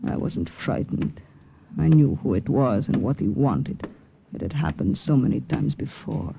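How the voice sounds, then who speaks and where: A woman's voice: a bass voice, animated, measured pace, creaky, dull audio, background noise, exaggerated voice. An actress playing a brave, guarded young female spy, narrating and acting in a vintage radio drama. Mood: reflective, tense. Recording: old radio recording with music behind.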